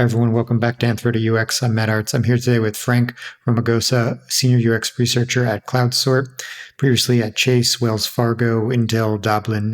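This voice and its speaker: A man hosting a podcast interview, introducing his guest in a quick, upbeat way. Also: medium pitch. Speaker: podcast host.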